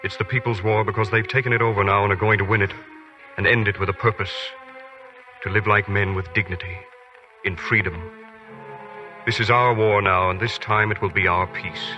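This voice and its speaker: A man plays an earnest soldier whose lowish voice rises with stirring conviction. Loud music plays under him, and everything sounds dull and muffled, like an old radio broadcast. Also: steady tone, moderate pace, close to an everyday voice. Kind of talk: 1940s radio drama acting.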